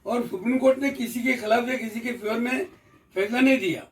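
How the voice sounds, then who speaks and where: High-pitched Indian man, distant from mic. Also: fairly quick. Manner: methodical, repeats and rephrases points. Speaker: speaker explaining court rules to the public. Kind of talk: informal explanatory talk.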